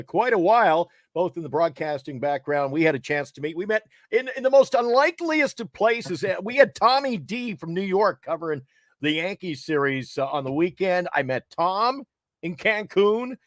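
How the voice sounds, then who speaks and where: Lively American man hosting sports talk. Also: sits high, no room sound, clean studio sound. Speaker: sports talk show host. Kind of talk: casual live broadcast chat.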